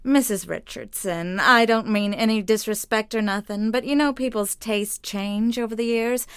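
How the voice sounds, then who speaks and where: A woman's voice: a little high, moderate pace, smooth, no room sound, studio quality, natural voice. A woman acting in an audio drama as a skeptical, wisecracking older sister, sarcastic and blunt.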